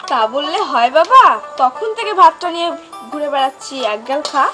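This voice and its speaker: A woman's voice: sits high, animated, brisk. Woman playing a coaxing young mother. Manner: coaxing, pleading. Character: doting young mother coaxing her son. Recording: with loud music behind.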